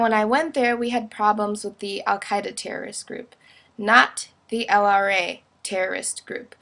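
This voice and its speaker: A woman's voice: mid-range voice, a bit bright. A young woman giving a casual, opinionated video monologue in a blunt, informal way, peppering her sentences with "like".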